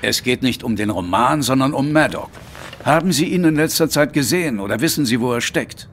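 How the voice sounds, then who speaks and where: Man acting businesslike police inspector, mid-pitched. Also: lively intonation, a little fast, fairly bright tone, a little hiss or hum, lightly acted. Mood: insistent. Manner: direct, formal. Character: businesslike Scotland Yard police inspector, direct and insistent. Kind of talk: German radio drama acting.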